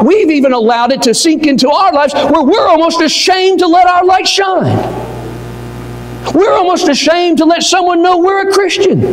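An older man preaching a sermon from a pulpit, swinging from near-shouts down to low, slow words. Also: slight background noise. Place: church auditorium. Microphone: pulpit mic, wide far camera. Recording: echoey hall with long reverb.

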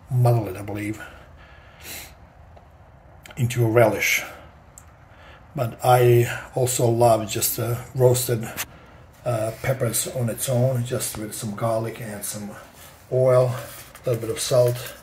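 A man's voice: medium pitch, darkish tone, reverberant, slight background noise. A middle-aged man from Croatia hosting a gardening channel, talking through planting in an easygoing, enthusiastic way with lots of fillers. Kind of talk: casual how-to demonstration.

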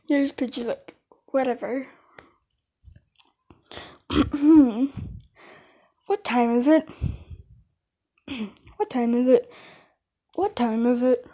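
Amateur female comic dubber: high voice, soft-edged tone, casual, stumbling read-aloud with asides. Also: muffled recording. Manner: halting, stumbling and restarting lines.

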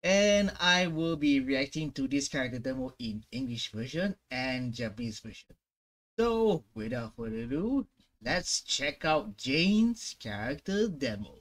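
A young man hosting a casual gaming reaction video on a headset, with animated, slangy exclamations. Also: medium pitch, a little slow. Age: young adult. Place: small room indoors at home.

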